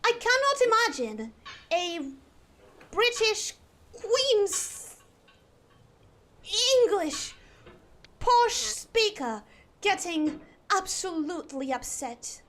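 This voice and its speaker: High-voiced woman in a put-on posh accent. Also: big pitch swings, fairly bright tone, a little hiss or hum. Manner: theatrical, drawn-out delivery. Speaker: streamer. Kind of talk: casual live-stream chat.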